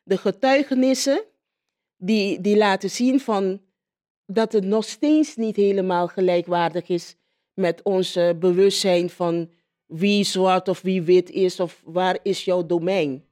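A woman giving a spontaneous personal account in an interview, haltingly, repeating phrases as she searches for words. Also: medium pitch, steady tone, darkish tone. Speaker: interviewee, local politician.

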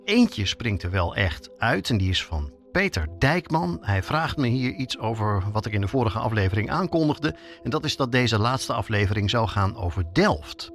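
A man's voice: on the low side, big pitch swings, normal speed, few pauses. A man with a Netherlands Dutch accent hosts a podcast, reading scripted narration as a reporter.

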